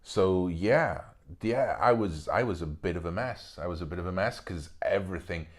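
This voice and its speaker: A man's voice: low-pitched, normal speed. A man, an actor chatting as a podcast guest and telling stories with lots of fillers and restarts. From Spain. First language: Spanish. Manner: animated storyteller, many fillers and restarts. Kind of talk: casual podcast conversation.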